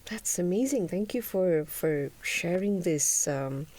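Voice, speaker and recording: A woman's voice: fairly low pitch, animated, takes it slowly, a little mellow. A woman hosting an interview, listening attentively and backing the guest with short affirmations. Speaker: interview host. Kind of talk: casual interview.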